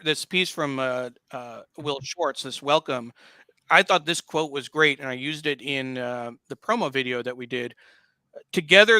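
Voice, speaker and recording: Man, bright forward voice, dry close sound, conversational interviewer. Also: on the high side, clean tone, no echo. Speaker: guest host, interviewer.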